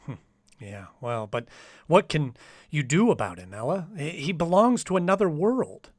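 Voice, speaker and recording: An actor playing an anxious, lovestruck poet in a radio drama, earnest and dramatic, with stammered phrases. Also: male voice, fairly high pitch, sing-song, normal speed, a little mellow, dry recording, studio quality. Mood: dejected. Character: anxious lovestruck poet hiding in a department store. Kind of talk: radio drama acting with journal narration.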